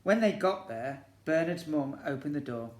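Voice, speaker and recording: A man's voice: on the high side, animated, normal speed, a little mellow. A man, a teacher reading a children's story expressively and breaking off to ask his young listeners questions. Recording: echoey room.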